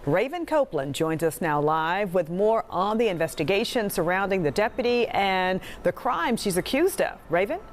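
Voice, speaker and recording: A woman's voice: mid-range voice, expressive, normal speed, hardly any pauses, a little hiss or hum. A woman news anchor introducing a report in a polished, scripted manner.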